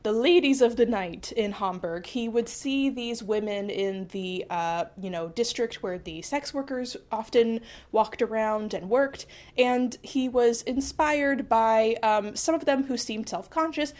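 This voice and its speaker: A young woman with a slightly high voice, stuffed up from a cold, talks through history in a loose, rambling way. She keeps breaking off for dry, self-deprecating jokes while recording in her small apartment. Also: a little slow, few pauses, darkish tone. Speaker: history video host. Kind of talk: casual history explainer.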